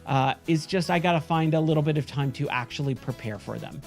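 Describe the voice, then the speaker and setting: Hoarse man streamer, faint music behind. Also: fairly high pitch, a little fast. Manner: chatty, rambling, frequent self-corrections. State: hoarse, losing his voice. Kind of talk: casual end-of-stream chat with viewers.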